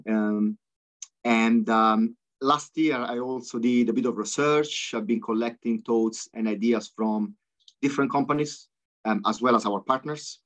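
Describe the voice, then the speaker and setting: A man as guest expert presenting software on a webinar, fluent but peppered with ums and uhs. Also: mid-range voice. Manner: fluent but full of ums and uhs, non-native phrasing. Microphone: video call. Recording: slightly dull video call audio.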